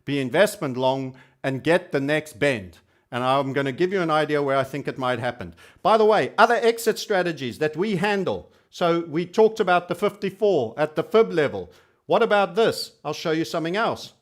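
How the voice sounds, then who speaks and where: Guy doing youtube trading talk, kinda high voice, cheeky and emphatic, repeats himself for stress. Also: lively intonation, moderate pace. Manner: cheeky, colourful storyteller. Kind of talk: informal market commentary.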